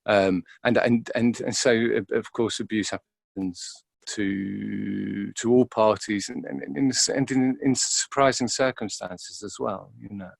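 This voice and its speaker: A man, an actor being interviewed, talking reflectively and casually in a halting way, often repeating words as he thinks. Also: lowish voice, steady tone, creaky, no room sound.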